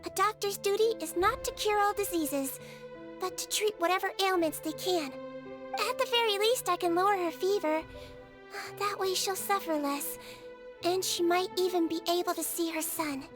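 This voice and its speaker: A woman voicing a gentle little female nurse creature in a game, speaking softly and reassuringly. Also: extremely high pitch, normal speed. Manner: soft, patient, reassuring bedside way of talking. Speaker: game character. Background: game music.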